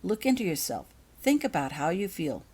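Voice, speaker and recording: A woman's voice: on the low side, sing-song, moderate pace, mellow, breathy edge. A woman voicing a kindly but firm school healer witch in a storybook reading, patiently instructing and encouraging. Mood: patient, instructive.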